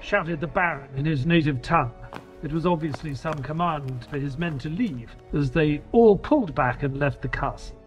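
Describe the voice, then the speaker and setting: A man playing an earnest doctor who narrates detective adventures in an audio drama, with a husky, expressive storytelling delivery. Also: on the high side, normal speed, faint music behind, close to an everyday voice. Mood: dramatic narration.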